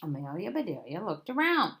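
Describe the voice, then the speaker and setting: Animated woman reading a children's story. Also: lowish voice, fairly quick. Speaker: read-aloud storyteller. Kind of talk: expressive children's book narration.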